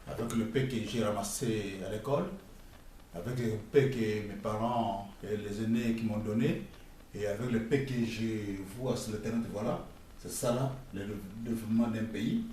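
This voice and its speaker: A middle-aged man from the Congo with a Congolese French accent, talking in an emphatic, halting, scolding way for his video blog. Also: on the low side, steady tone, dark tone, a little hiss or hum. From Democratic Republic of the Congo. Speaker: vlogger. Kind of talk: casual spoken commentary. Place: outdoors near a construction site. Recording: echoey and distant with faint ambience.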